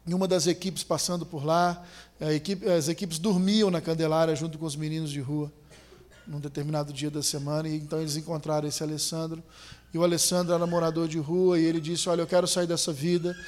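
A man preaching, his voice sitting fairly high for a man. He tells a story in a lively way and climbs into loud, emphatic peaks. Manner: animated storytelling, builds to emphatic climaxes. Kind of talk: sermon with storytelling.

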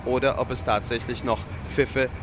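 A man giving an on-location radio news report in measured, separate phrases. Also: mid-range voice, flat delivery. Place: on location outside a theatre. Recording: loud street noise, traffic behind.

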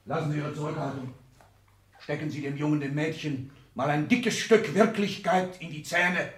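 An actor playing a domineering, vain seventy-year-old industrial magnate in a 1960s radio drama, imperious and declamatory. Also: male voice, on the high side, steady tone, normal speed, lightly acted. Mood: confident, instructing. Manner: imperious, declamatory, bursting from muted asides into shouts.

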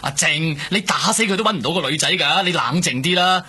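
High, strained, raspy male cop. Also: a little fast, sharp tone, full character voice. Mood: urgent, placating. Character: gruff male police colleague, urgently restraining a friend. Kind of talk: radio drama acting.

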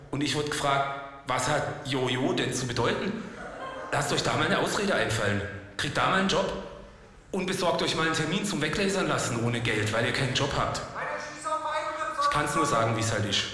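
A man's voice: medium pitch, pushed and bright. An actor playing a rueful yet cocky washed-up ex-punk man, rambling in slangy colloquial speech in a comic monologue. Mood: deflated, rueful. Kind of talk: spoken comic monologue to an audience. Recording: very echoey hall, long reverb.

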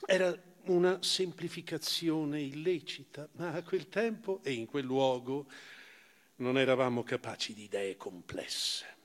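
A man giving a dramatic first-person literary reading on stage, theatrical, with emphatic rises and hushed phrase endings. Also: a little high, measured pace. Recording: reverberant hall, live stage reading.

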